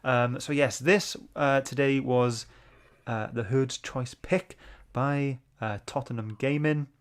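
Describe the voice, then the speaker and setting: A man's voice: mid-range voice, steady tone, takes it slowly, smooth. A man hosting a book club livestream, chatting informally and greeting viewers. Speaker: host.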